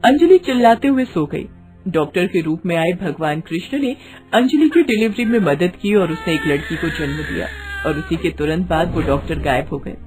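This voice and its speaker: A woman's voice: lowish voice, animated, normal speed, over music. A woman narrating a scripted bedtime story in a dramatic storytelling style.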